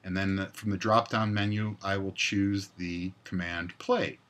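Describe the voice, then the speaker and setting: Man with a bright, forceful voice. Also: low voice, level tone, measured pace. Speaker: tutorial presenter. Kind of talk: step-by-step software demonstration. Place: indoors, screen recording voice-over.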